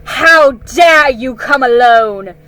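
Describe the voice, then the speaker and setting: A woman voicing a cruel, imperious witch queen who speaks loudly and threateningly. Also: mid-range voice, animated, takes it slowly.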